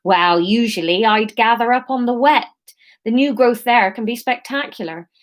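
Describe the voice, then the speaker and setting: A woman's voice: on the high side, moderate pace, close to an everyday voice. A woman voicing a friendly female market seller with a put-on Somerset accent and homely sales patter. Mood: warm, sly.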